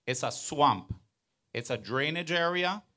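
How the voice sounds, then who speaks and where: A man's voice: a little high, expressive, unhurried, bright, forceful tone. A man in the audience asking a pointed question at a town hall into a handheld mic, earnest and building in emphasis. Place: large outdoor venue with audience.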